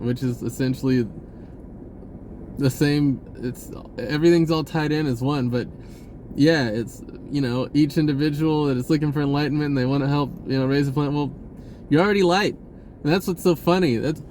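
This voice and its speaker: A man speaking in a casual, rambling spiritual monologue, halting and drifting in an informal way. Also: on the high side, a little mellow, slight background noise. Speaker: spiritual vlogger.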